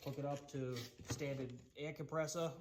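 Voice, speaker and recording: A man's voice: fairly high pitch, steady tone, normal speed, background noise. A man with a Boston accent giving a casual, chatty how-to demonstration in his home workshop, with loose folksy asides. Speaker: DIY woodworking channel host.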